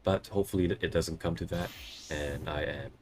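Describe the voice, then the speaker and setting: Male streamer, very low and coarse, hoarse from a cough, friendly chat with viewers. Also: steady tone, moderate pace, a little mellow, a little hiss or hum. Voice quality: coarse, strained voice. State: hoarse, losing his voice from a cough. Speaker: game streamer.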